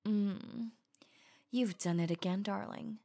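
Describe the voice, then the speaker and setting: Slow, sultry werewolf huntress acted by a woman. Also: medium pitch, steady tone, warm tone. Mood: savouring, flirtatious. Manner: slow, breathy, intimate, often dropping to whispers. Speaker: audio roleplay voice actor. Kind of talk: ASMR roleplay.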